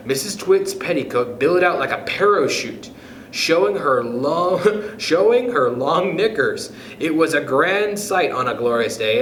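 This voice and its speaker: A man hosting storytime for children, reading aloud expressively with dramatic, deliberate phrasing and pauses. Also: medium pitch, a bit bright. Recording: echoey room with background noise.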